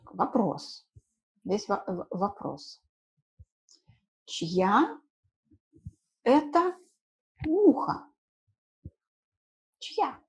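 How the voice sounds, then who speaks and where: Slow-paced husky woman teaching simply. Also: fairly high pitch, very animated, low-quality recording. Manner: explains simply, repeating key words and checking with short tag questions. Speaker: language teacher. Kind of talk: informal lesson in simple Russian for learners. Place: small room indoors at home.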